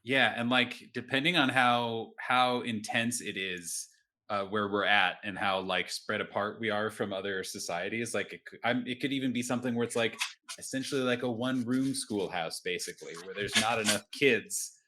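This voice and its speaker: A man's voice: on the low side, steady tone, moderate pace, sharp tone. A man casually working out tabletop game story ideas piece by piece, with a wry streak, as a podcast player. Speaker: player in an actual-play podcast. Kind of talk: casual collaborative game planning.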